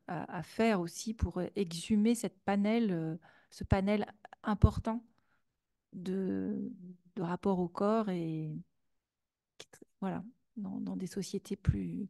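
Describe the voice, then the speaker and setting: A woman's voice: lowish voice, gravelly, dark tone, dry recording, clean studio sound. A French woman speaking at a conference, thinking aloud with many fillers, restarts and repeated words. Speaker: guest speaker. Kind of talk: conference talk and Q&A.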